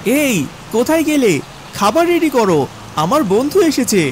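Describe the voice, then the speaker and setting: A man voicing an insistent, evasive friend in an animated story, speaking in a familiar, chiding way. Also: very high-pitched, normal speed, slight background noise, pitched above the performer's own voice. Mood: welcoming. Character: insistent, evasive young male village friend. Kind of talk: animated story character dialogue.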